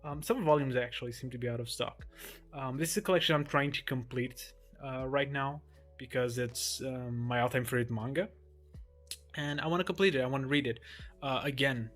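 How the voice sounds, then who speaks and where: A young man from Portugal, a native Portuguese speaker, talks through his collection in a loose, rambling way. His voice sits at an ordinary middle pitch, and soft music plays quietly behind him. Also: a little fast. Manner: relaxed, rambling, with frequent ums and restarts. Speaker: manga collector showing his shelves. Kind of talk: casual collection tour vlog.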